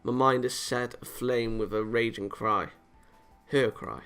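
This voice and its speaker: Man, gravelly voice, dramatic read-aloud of a visual novel, offhand reactions, stumbles and rereads, soft music behind. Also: mid-range voice, fairly even intonation, a little slow. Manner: often stumbles over words and rereads them. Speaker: gaming video maker reading a visual novel aloud.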